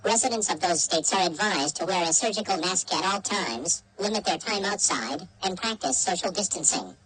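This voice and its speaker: A woman reading a scripted emergency broadcast announcement in a formal, measured, synthetic manner. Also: lowish voice, expressive, a bit bright, husky, rough recording.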